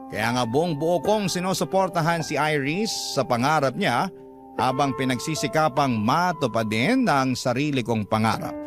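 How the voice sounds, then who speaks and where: A man's voice: mid-range voice, close to an everyday voice. Actor as earnest man narrating over music. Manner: earnest, reflective. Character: devoted man recounting his love story as letter writer. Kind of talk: first-person scripted radio drama narration.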